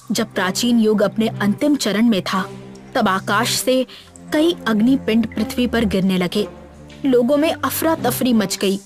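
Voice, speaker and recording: A woman's voice: on the high side, close to an everyday voice. A woman voicing a knowledgeable young female language scholar in an audio story. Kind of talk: audio story character dialogue. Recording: music bed behind the voice.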